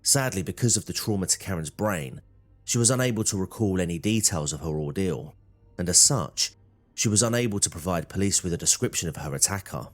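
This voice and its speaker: A man's voice: sits low, lively intonation, fairly quick, soft background music. A man reading scripted true crime documentary narration.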